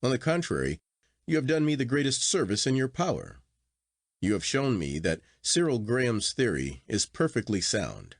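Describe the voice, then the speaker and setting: A man voicing a convinced, stubborn gentleman in storybook dialogue, formal, assertive and questioning. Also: mid-range voice, sing-song, moderate pace, no echo, clean studio sound. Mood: convinced, challenging.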